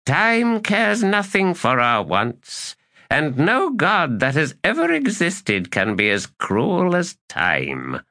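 A tired old god who has long since given up on his lost throne, speaking slowly and with sorrow, as a male narrator voices him. His voice is raised a little above a plain speaking pitch and moves through a wide, lively range. Every word sounds resigned. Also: exaggerated voice. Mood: sorrowful. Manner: sorrowful, resigned, slow and measured. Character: weary melancholy old male elder god. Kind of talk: audiobook character reading.

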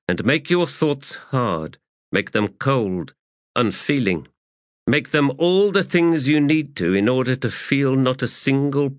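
A man's voice: mid-range voice, fairly bright tone, no room sound, studio quality, pitched above the performer's own voice. A man reading aloud voices a stern woman commander who speaks harshly and presses hard questions. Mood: urging. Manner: harsh, rising to fierce questioning.

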